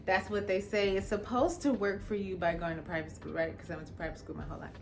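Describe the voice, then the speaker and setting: A young adult woman, a lawyer from Los Angeles whose first language was Jamaican Patois, tells her own story in a casual, expressive way and acts out the lines of people she quotes. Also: on the low side, fast, gravelly, background noise. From Los Angeles, California. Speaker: attorney. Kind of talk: personal storytelling.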